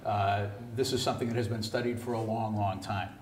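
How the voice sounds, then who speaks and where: A man giving a deliberate, halting answer as a council candidate in an echoey room. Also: lowish voice, fairly even intonation. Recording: echoey room, distant mic, noise.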